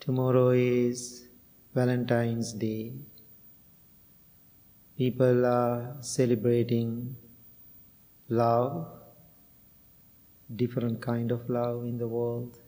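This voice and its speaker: Slow, gentle monk from Sri Lanka. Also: male voice, medium pitch, completely flat delivery, in a large hall, low-quality recording. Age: middle-aged. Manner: slow, gentle, with frequent pauses and fillers. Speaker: Buddhist monk and meditation teacher. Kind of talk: guided meditation and dharma talk.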